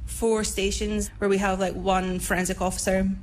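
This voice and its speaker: A woman's voice: medium pitch, flat delivery, moderate pace, a little hiss or hum. A woman in her thirties with a Scottish accent, speaking informally in an interview as a police forensic officer. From Scotland. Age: 30s.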